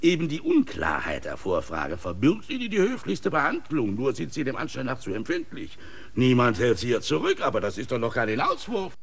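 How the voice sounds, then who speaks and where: An actor playing a condescending village mayor in a radio play, politely cold and patronizing. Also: male voice, medium pitch, wildly swooping pitch, fairly quick, creaky, breathy edge, exaggerated voice. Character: smooth condescending male village mayor, bureaucrat.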